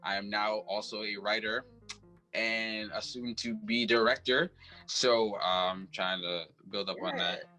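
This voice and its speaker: A young man from Brooklyn introduces himself offhandedly on a video call. His low voice has a bright, forward edge, with faint music underneath. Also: steady tone, measured pace, poor audio. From Brooklyn, New York. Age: 20s. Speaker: guest. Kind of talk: casual self-introduction.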